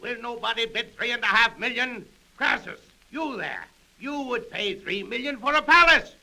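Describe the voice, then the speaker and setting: Roman Praetorian auctioneer, piercing, gravelly edge, theatrical oratory, grandiose, 1930s radio drama recording. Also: male voice, very high-pitched, lively intonation, normal speed, sharp tone, exaggerated voice, poor audio. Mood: prodding. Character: Praetorian Guard officer auctioning the Roman Empire, grandiose and goading.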